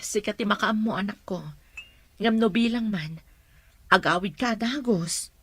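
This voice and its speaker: A woman's voice: medium pitch, unhurried, fairly bright tone, husky. A woman playing a protective, reassuring mother in a radio drama. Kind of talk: Ilocano radio drama acting.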